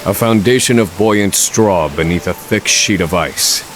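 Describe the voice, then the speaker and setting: An actor playing a grim, brilliant scholar in a fantasy video game, speaking in a measured, formal and erudite way. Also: male voice, low voice, faint music behind. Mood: intent, assured. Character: grim, brilliant adult male scholar escaping prison. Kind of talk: dramatic video game voice acting.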